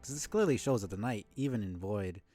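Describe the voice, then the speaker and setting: A man's voice: fairly low pitch, lively intonation, a little fast, warm tone, soft background music. A man giving casual live game commentary as a streamer, thinking aloud in a speculative, casually sweary way.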